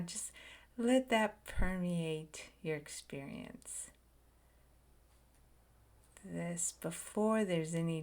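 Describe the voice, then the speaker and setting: A woman leading a guided meditation, speaking softly in short phrases with long pauses and frequent whispered words. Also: fairly low pitch. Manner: slow, soft and soothing, drifting into whispers.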